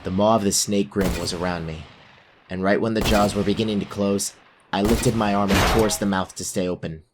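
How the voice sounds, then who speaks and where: A man's voice: on the low side, fairly even intonation, a little fast, heavy background noise, natural voice. A man playing a brave but self-doubting young fantasy warrior, narrating his own story in an audio drama. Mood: earnest narration.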